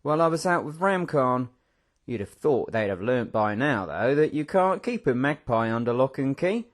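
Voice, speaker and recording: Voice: mid-range voice, animated, moderate pace, lightly acted. A reader voicing a cocky, jaunty man who brags about his escapes. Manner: jaunty, boastful. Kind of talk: audiobook character voice.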